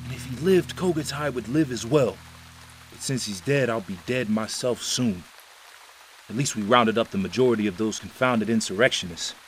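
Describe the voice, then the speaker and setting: A man's voice: mid-range voice, normal speed, slight background noise, lightly acted, rough recording. An actor playing a bitter, resigned man awaiting death in an audio drama.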